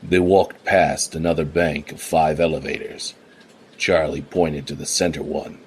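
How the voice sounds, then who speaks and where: Man with a super deep voice reading out a noir story, slow and steady. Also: slight background noise. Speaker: narrator. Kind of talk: scripted narration.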